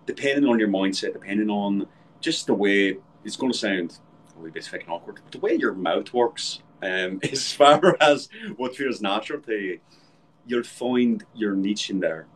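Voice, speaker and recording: A man's voice: on the low side, expressive, moderate pace, poor audio. A man, a voice actor, chatting casually on a video call from a home room and swearing now and then. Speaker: voice actor guest. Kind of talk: casual chat. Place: home room with shelves, indoors.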